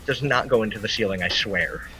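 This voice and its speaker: A man's voice: on the low side, fairly quick, sharp tone, low-quality recording. A man chatting casually as a livestream co-host, with dry, joking patter. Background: faint music.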